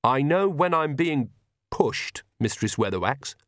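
A man voicing an earnest, polite young priest with a halting, formal manner, in an audiobook. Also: medium pitch, very animated, a bit bright, no echo, clean studio sound, voice pushed up. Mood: wry.

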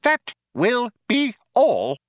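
A man's voice: very high voice, huge pitch swings, extremely slow, no echo, studio quality, voice pushed up, heavily acted. A man voicing a small, imperious male mouse in a curt, formal, commanding manner for a storybook. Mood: sharp, dismissive.

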